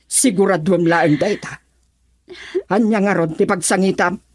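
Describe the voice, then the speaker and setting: A woman's voice: fairly low pitch, measured pace, a little mellow, husky, no echo, clean studio sound. An actress with an Ilocano Filipino accent playing a stern, probing mother in a radio drama. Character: stern, probing mother questioning her daughter.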